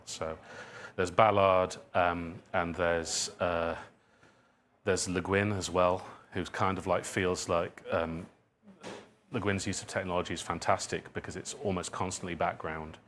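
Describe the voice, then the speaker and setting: A man answering audience questions as a writer at a talk, thinking aloud in a halting, self-deprecating way. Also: low voice, a bit bright, slight background noise. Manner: reflective, halting, hedging with self-deprecating asides. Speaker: guest speaker, writer and dramatist.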